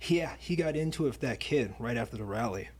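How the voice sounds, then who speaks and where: A man playing a reluctant, uneasy college sidekick in an audio drama, with a husky voice. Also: mid-range voice, expressive, fast, a little hiss or hum, lightly acted. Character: uneasy male college student, reluctant flunky.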